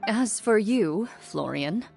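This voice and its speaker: An actress playing a composed, stoic young duelist and monster hunter in a video game, speaking calmly and with measured understatement. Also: female voice, mid-range voice, gravelly, faint music behind. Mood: calm, firm. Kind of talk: video game dialogue acting.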